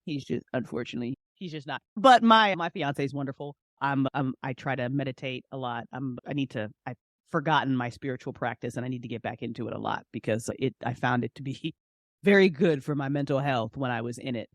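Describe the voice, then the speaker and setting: A woman's voice: extremely low pitch, brisk, no echo, studio quality. A woman speaking as an interview guest in an informal, passionate way, leaning on key phrases and repeating them for emphasis. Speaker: podcast guest, independent entrepreneur. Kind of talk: casual, passionate interview conversation.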